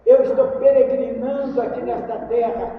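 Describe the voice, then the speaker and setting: Man, very high voice, Brazilian accent, emphatic sermon, repeated phrases, heard from far off. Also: steady tone, normal speed. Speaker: preacher. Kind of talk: sermon to a congregation. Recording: echoey hall from far off, dull, faint noise.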